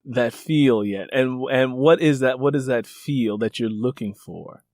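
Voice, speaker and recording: A man with an average-pitched voice conducts a casual interview. He often repeats or rephrases what he has just heard, and the sound is dry with little room in it. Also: unhurried, a little mellow. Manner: echoes and restates the guest's answers.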